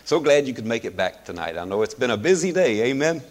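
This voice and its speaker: A hoarse middle-aged man leads a service with a loose, chuckling manner, full of "uh"s between his phrases. His pitch swings widely and climbs as he presses his points. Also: big pitch swings, fast talker. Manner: folksy, chuckling, loose with fillers. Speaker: pastor. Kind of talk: sermon.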